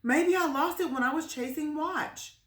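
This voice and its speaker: Woman acting an excitable young boy, higher-pitched, echoey. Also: moderate pace, natural voice. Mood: distressed. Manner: expressive, emphatic delivery. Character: excitable, earnest young boy in a children's story. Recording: roomy, echoing space.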